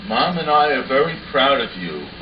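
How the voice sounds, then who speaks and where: A man's voice: medium pitch, very animated, normal speed, lightly acted. A man playing a proud, kindly father in an amateur puppet show. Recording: muffled, echoey room with faint noise.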